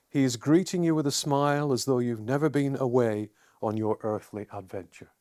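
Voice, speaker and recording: Slow-paced man leading guided meditation. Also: medium pitch, a little mellow, dry recording, clean studio sound. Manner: measured, soothing delivery with deliberate pauses.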